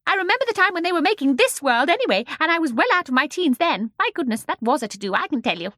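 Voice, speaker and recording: A woman voicing a tiny, very old, domineering storybook woman with a high, shrill, squeaky voice. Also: big pitch swings, fast talker, bright, forceful tone, no room sound, clean studio sound, full character voice. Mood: chatty, merry. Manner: chattering, domineering, exclamatory. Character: tiny ancient shrill woman, fierce then sweet, gleefully eccentric.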